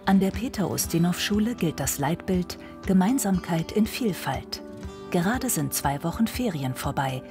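A woman narrates a television news feature in a steady, measured voice that sits a little low for a woman. A clear music bed plays underneath her reading. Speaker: reporter.

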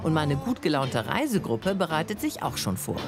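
A woman narrating a travel show with lively enthusiasm. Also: sits low, normal speed. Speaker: host. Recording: voice-over with loud music behind.

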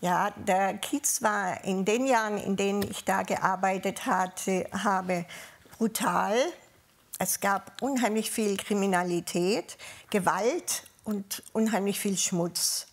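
A woman's voice: medium pitch, steady tone, slow pace. A woman from southern Germany telling personal recollections as an interview guest, candid and sometimes searching for her words. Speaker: interview guest, former police officer.

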